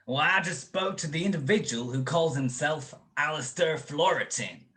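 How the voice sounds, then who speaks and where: A man's voice: on the high side, expressive, moderate pace, bright, forceful tone. A man playing a hot-headed, bombastic young football bruiser in 1940s radio comedy style. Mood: furious.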